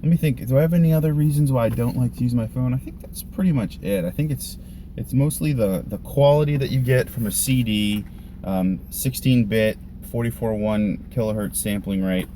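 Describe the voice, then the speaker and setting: A man giving a casual, unscripted vlog explanation in a halting, conversational way, thinking aloud as he goes. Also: mid-range voice, mellow, a little hiss or hum. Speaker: volunteer church live sound tech, vlogger.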